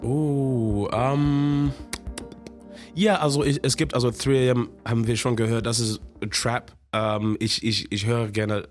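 A man from Zimbabwe with an English accent, a musician guest speaking halting German mixed with English, animated and laughing often. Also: medium pitch, soft background music. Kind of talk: casual radio interview.

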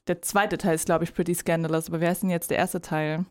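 A woman in her thirties co-hosting a podcast in casual chat. She laughs often and fills pauses with "ähm". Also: on the low side, fairly even intonation, darkish tone. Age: 30s. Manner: chatty, laughs often, trails into fillers. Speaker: podcast co-host.

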